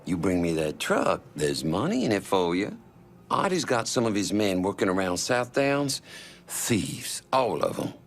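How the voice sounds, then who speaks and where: A man's voice: low voice, sing-song, measured pace, slight background noise, full character voice. A man playing a fussy, chatty, easily indignant small-time salesman in a crime game, with folksy, mild-mouthed turns of phrase. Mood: hesitant, scheming. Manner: folksy, mincing oaths, hesitant then indignant. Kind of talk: dramatic video game acting.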